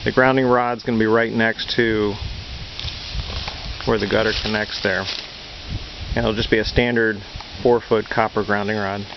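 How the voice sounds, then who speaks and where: A man's voice: lowish voice, little pitch movement, normal speed, pauses often. A man talking casually and matter-of-factly through a how-to project, like a hobbyist showing his work. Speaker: amateur radio hobbyist, video maker. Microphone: handheld camera's built-in mic. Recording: dull camera-mic audio with clear hiss and hum.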